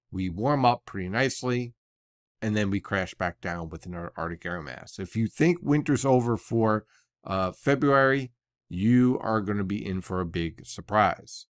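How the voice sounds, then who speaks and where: American male forecaster, lowish pitch, analytical, conversational, explanatory briefing style, dry sound. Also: no room sound. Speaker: weather forecaster. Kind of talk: explanatory weather briefing, semi-casual.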